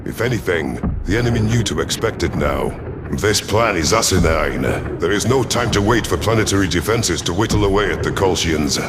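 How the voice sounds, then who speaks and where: Guy doing a low growly alien warlord telling his story, gruff and bossy, proud, sci-fi audio drama. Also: expressive, moderate pace, heavy background noise. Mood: wary, brooding. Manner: snarling, commanding, impatient. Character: gruff, proud alien predator chief hunter, fleet leader. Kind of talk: first-person sci-fi audio drama narration.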